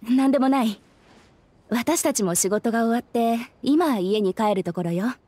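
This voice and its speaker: High-voiced, hesitant young townswoman played by an actress. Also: normal speed, warm tone, a little hiss or hum, natural voice. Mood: flustered. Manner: hesitant, evasive. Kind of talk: Japanese video game voice acting.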